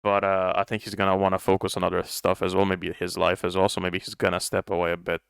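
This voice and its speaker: Deep, gravelly young man, non-native English. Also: completely flat delivery, quick pace, no echo, studio quality. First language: not English. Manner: thoughtful, rambling, many restarts. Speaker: podcast co-host. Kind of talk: casual chat.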